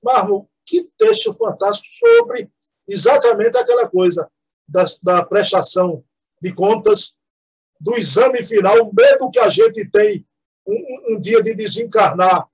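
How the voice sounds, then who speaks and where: A man's voice: sits high, sing-song, measured pace, husky, low-quality recording. A man with a Northeastern Brazilian accent, co-hosting a chat and praising articles effusively with frequent tag questions. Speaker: co-host. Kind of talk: casual chat.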